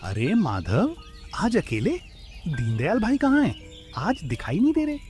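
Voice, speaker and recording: A man's voice: sits high, huge pitch swings, measured pace, darkish tone, faint music behind, full character voice. A man playing an inquisitive village uncle, a regular customer in a cartoon story. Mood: curious.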